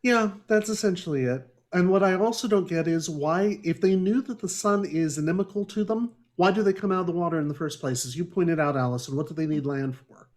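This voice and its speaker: A man's voice: high-pitched, fairly quick, hardly any pauses, a little mellow. A man hosting a casual podcast book discussion, agreeing readily and criticising with wry humour.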